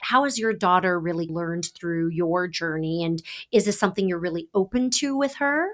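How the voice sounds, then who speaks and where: A woman's voice: lowish voice, normal speed. A woman from Taiwan hosting a podcast, affirming her guest and summing up the guest's points in a casual interview. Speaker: podcast host.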